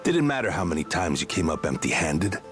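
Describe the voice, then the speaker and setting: An actor playing a good-natured, nostalgic old friend from seafaring days in a video game. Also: male voice, deep voice, big pitch swings, a little fast, soft background music.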